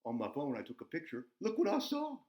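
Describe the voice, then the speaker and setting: Casting: male performer as a high-voiced, excitable, bumbling farm buzzard hosting a kids' puppet show, folksy and repetitive, recorded in an echoey room. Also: quick pace. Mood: excited. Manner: folksy, repetitive, easily flustered, giggly exclamations. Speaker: children's show host. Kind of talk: rambling children's show chat.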